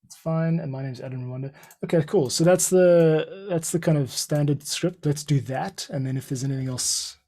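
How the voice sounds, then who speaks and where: A man's voice: a little high, moderate pace, few pauses, dark tone. A man hosting a podcast in casual chat, laid-back and slangy, calling his guest dude. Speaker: podcast host.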